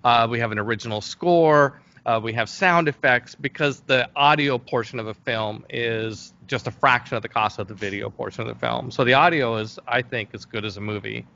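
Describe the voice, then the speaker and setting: A man's voice: medium pitch, moderate pace, vocal fry, few pauses, sharp tone, muffled recording. A middle-aged man chatting casually as an interview guest, often stopping and restarting his sentences. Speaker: interview guest, fantasy film producer.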